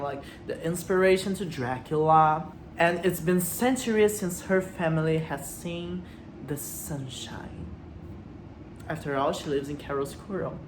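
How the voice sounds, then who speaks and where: Low-voiced, unhurried woman in an echoey room. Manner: reflective, puts rhetorical questions to listeners. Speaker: host of a literary video series. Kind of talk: literary commentary with read-out quotes. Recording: roomy echo, faint background noise.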